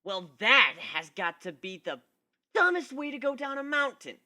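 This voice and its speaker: Man acting tough young female griffin, brusque, teasing, bright forceful tone, swinging pitch, amateur storybook reading. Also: sing-song, a little slow, full character voice. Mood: exasperated.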